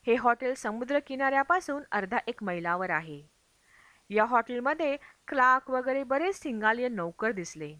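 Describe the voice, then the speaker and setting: Woman narrating a travel book, higher bright voice, really dry close sound. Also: dry recording. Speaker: audiobook reader. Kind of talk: scripted narration of a travel memoir.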